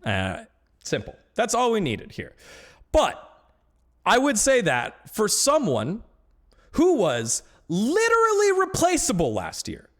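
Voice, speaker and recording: A man's voice: sits high, huge pitch swings, takes it slowly. A man talking casually on a live stream about esports, ranting with growing indignation.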